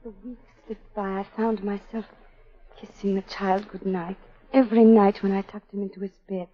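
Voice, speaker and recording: An actress playing a guarded, troubled governess in a clipped, dramatic 1940s radio style. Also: female voice, medium pitch, little pitch movement, moderate pace, close to an everyday voice. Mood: reflective. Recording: dull 1940s radio broadcast, music behind.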